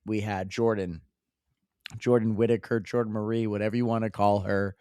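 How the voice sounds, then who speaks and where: A hoarse man who has lost his voice, co-hosting a podcast in casual, excitable chat with stumbling restarts. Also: on the low side, moderate pace, no echo, clean studio sound. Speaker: podcast co-host.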